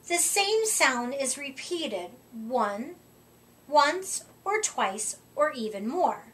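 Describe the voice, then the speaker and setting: A middle-aged woman reading a rhyming picture book aloud to children, with deliberate, expressive emphasis, recorded a short way from the mic. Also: high voice, a little hiss or hum. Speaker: children's storytime reader. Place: indoor room, seated before a curtain. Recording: voice distant from the microphone.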